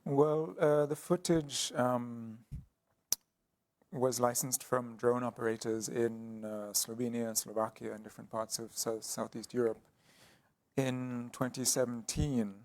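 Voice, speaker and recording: A man speaking as an artist guest in an interview, explaining his work thoughtfully with frequent pauses and restarts. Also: mid-range voice, fairly even intonation, darkish tone. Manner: hesitant, many ums and restarts.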